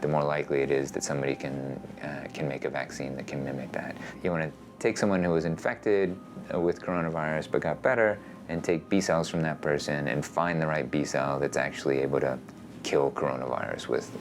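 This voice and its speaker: A man's voice: very deep voice, fairly quick, music in the background. A man, a researcher, explaining how antibodies help vaccine development in a documentary interview. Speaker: immunology researcher.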